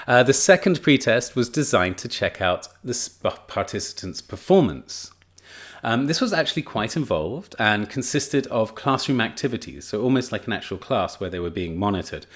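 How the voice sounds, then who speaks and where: Male teacher trainer, young adult, lowish voice, casual enthusiastic lecture delivery. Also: very animated, normal speed, a bit bright. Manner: chatty, enthusiastic, frequent fillers and restarts. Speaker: teacher trainer presenting a study.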